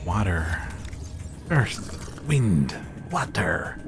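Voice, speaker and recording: A man's voice: low voice, very animated, drawn-out words, vocal fry. A man hosting a let's-play with chill, rambling commentary and self-deprecating asides, his voice a little worn today. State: slightly losing his voice. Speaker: gaming let's-play host. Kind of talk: chill casual gameplay commentary. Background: background music.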